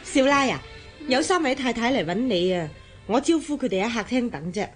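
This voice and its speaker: A woman plays a chatty maid serving a young couple in a radio drama. Her voice is animated and fairly high, with a Hong Kong Cantonese accent and music behind it. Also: fairly quick, close to an everyday voice. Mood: cheerful, attentive. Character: deferential, chatty household maid.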